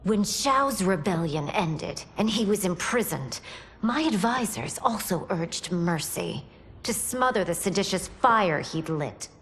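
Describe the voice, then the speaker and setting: Slow-spoken, imperious empress. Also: female voice, on the low side, animated, a bit bright, slight background noise. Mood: bitter, rising anger. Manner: imperious, deliberate. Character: proud, vengeful grieving empress. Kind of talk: video game cutscene acting.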